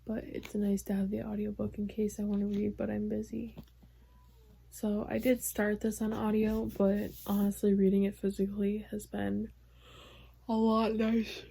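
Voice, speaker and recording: A young woman vlogging casually about her reading, rambling with lots of fillers, her voice going hoarse. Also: mid-range voice, monotone, moderate pace. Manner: rambling, filler-heavy, soft-spoken. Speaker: book vlogger. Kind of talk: casual vlog chat.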